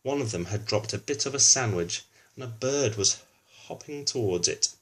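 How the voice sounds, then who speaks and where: A man's voice: medium pitch, animated, normal speed, breathy edge. A man reading a children's book aloud, narrating with chatty asides addressed to young listeners.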